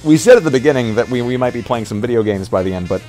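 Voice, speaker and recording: A man's voice: mid-range voice, animated, very fast, darkish tone. A man chatting casually on a livestream, rambling and hesitant, often repeating his phrases. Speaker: streamer and roleplay player. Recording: music playing behind. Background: music.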